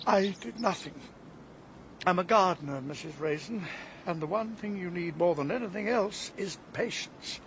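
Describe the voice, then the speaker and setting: High-pitched, bitter village gardener. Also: male voice, a bit bright. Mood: quietly vengeful. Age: middle-aged. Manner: wounded, bitter, deliberate. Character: aggrieved, vengeful middle-aged village gardener and scoutmaster. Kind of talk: radio drama acting. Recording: dull sound, faint background noise.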